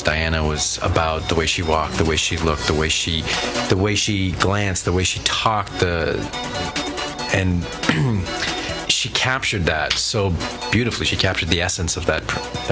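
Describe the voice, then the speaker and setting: A man being interviewed as a guest actor on a live radio show, talking in an earnest, admiring way as he tells stories. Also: deep voice.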